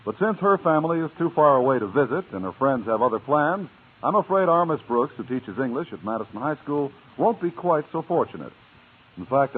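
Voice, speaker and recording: Animated 1950s radio announcer man, tinny sound. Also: medium pitch, slight background noise. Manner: polished, emphatic sales delivery. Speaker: announcer and narrator. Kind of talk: 1950s radio advertising and narration. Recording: tinny old radio broadcast.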